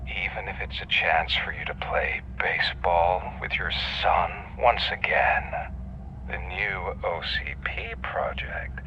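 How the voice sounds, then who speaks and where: An actor playing a sly, smugly menacing criminal prisoner in a video game, breathy and half-whispered, needling as he talks. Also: deep voice, lo-fi sound. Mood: insinuating, menacing. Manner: slow, insinuating, needling. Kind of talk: video game cutscene acting.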